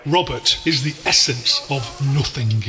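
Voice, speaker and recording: A man playing a wry, erudite alien storyteller, narrating a scripted audio drama in a measured, deadpan way. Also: mid-range voice. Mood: dry.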